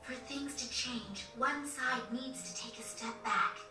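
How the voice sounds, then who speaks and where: A woman playing an earnest young noblewoman in a video game, speaking in a formal, measured way. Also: sits high. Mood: earnest pleading. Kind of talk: dramatic video game cutscene acting. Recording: echoey, distant, music behind.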